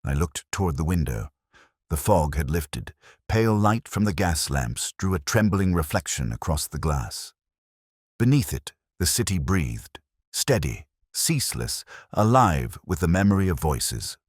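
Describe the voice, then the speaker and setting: A man with an extremely low voice narrates a first-person mystery story. His delivery is solemn and measured, with lively swings of pitch. The sound is dry and close. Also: husky, dry recording. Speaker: audiobook narrator.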